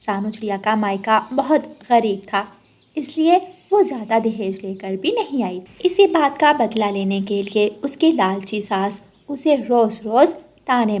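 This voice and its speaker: A woman's voice: medium pitch, darkish tone. A woman narrating a scripted children's moral story as the storyteller.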